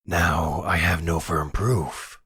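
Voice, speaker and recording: A man playing a very deep, husky werewolf elder. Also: steady tone, a bit bright, no room sound, clean studio sound, exaggerated voice. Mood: measured, explanatory. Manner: measured, formal, soothing guide. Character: calm, fatherly werewolf pack leader and researcher. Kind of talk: roleplay audio drama.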